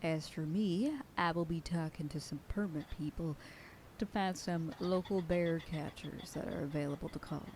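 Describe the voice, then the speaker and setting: A woman's voice: low-pitched, normal speed, darkish tone, slight background noise, natural voice. A woman voicing a bossy female werewolf sheriff who lectures her pack in an ASMR roleplay, sometimes dropping to a whisper. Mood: stern briefing. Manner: stern, commanding, lectures a group. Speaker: voice actor.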